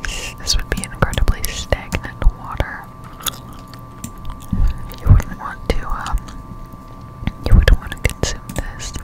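A young woman speaks entirely in a soft, breathy whisper, very close to the microphone. She gives ASMR-style commentary over gameplay, thinking aloud in a loose, rambling way. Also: takes it slowly, pauses often, rough recording. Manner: rambling, musing aloud to herself. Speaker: gameplay streamer. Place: indoors at a home computer. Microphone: close-up desktop condenser mic.

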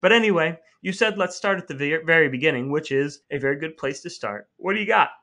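A man's voice: a little high, lively intonation, quick pace, bright, forceful tone. A man co-hosting a podcast in casual banter, with playfully mock-formal phrasing. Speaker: podcast co-host.

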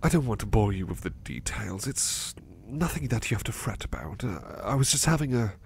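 Casting: male actor as gentle sleepy servant for ASMR roleplay, low creaky voice, anxious, halting, devoted. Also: big pitch swings, exaggerated voice. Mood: uneasy, reluctant. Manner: hesitant, stammering, apologetic. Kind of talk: soft-spoken ASMR roleplay acting. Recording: faint background noise.

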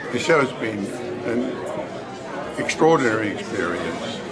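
Man, seventies, from the Netherlands, very slow halting delivery, reflective, loud crowd behind. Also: lowish voice, very animated. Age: 70s. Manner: deliberate, halting phrases. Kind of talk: reflective testimonial to camera. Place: indoor public venue.

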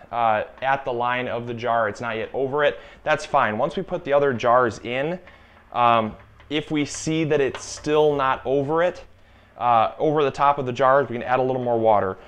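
A young man in his twenties, chatty and instructive, talking through a canning demonstration in a home kitchen on a lapel mic. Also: medium pitch. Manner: chatty, instructive, repeats key warnings. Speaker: gardening channel host. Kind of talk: casual cooking demonstration.

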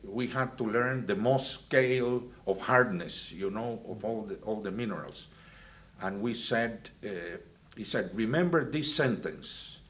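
A man, from Venezuela and raised in Guatemala, recalling his student years in a halting way with many fillers and restarts. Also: fairly low pitch, fairly bright tone, a little hiss or hum. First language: Spanish. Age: 70s. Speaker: alumnus interviewee. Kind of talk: reminiscing interview. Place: indoors, plain backdrop.

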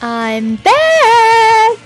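Woman acting a very high-pitched feisty girl. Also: wildly swooping pitch, drawn-out words, faint music behind, full character voice. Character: feisty, brash gamer girl. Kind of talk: amateur audio drama acting.

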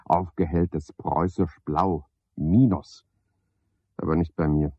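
A scheming grumpy night porter in an old radio play, really deep voice, sneering and fussy, mumbles to himself then flares up, dry close sound. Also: male voice, steady tone, slow, slightly rough, no room sound, exaggerated voice. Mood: smug, pedantic. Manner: sardonic, pedantic, swinging from muttering to outbursts. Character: cynical, scheming, misanthropic male night porter, talking to himself. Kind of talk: 1970s German radio play monologue. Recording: dull, lo-fi, dry studio radio recording.

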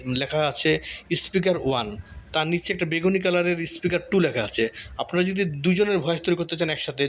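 Higher-voiced man giving a tutorial. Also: fairly bright tone. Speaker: tutorial host. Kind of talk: informal step-by-step screen tutorial. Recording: clearly audible background noise.

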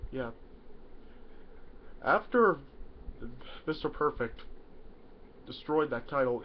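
Young guy with a head cold, rambling bedroom vlog, lo-fi webcam mic. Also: mid-range voice. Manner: rambling, many "yeah" fillers and restarts. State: stuffy nose from a cold. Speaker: YouTuber. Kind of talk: casual to-camera explainer. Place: small bedroom indoors. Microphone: webcam in front of him. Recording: dull lo-fi webcam audio, faint hiss.

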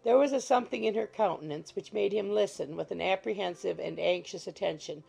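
Woman audiobook narrator, strong vocal fry, mellow tone, scripted literary reading, music behind. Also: mid-range voice, a little fast, low-quality recording. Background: faint music.

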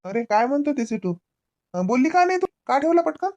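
A woman plays a gruff young husband and son, voiced much lower than her usual tone. He snaps impatiently at his family in a comedy skit. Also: sing-song, a little fast, darkish tone, dull audio.

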